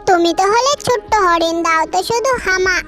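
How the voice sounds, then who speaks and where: A little trickster bird from a children's cartoon, pitched extremely high like a small child. The delivery is cheeky, sing-song and fond of rhyme. Prominent music runs behind the voice. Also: female voice, fairly quick, bright, forceful tone. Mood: playful singing. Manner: sing-song, teasing, rhyming. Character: small, cheeky, song-loving tailorbird girl, cocky trickster.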